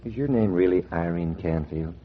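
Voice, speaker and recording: An actor playing a cocky, smooth-talking American schemer in a clipped, slangy 1940s radio style. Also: male voice, low voice, moderate pace, natural voice. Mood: sly, persuasive. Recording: muffled noisy 1940s radio recording.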